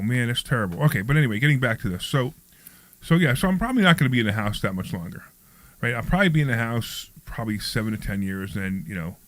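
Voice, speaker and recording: A man in his sixties hosting a talk radio show, rambling and repeating himself in casual chat. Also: mid-range voice. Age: 60s.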